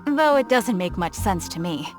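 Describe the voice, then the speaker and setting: A woman's voice: mid-range voice, lively intonation, moderate pace, natural voice. A woman voicing an eager, effusive female souvenir shopkeeper in a video game. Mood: friendly. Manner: bubbly, effusive saleswoman. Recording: faint game music behind.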